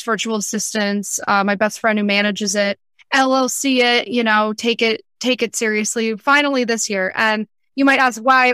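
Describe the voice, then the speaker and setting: Bright, high-voiced young woman. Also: smooth, dry recording. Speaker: podcast guest, freelance entrepreneur. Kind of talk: casual interview chat.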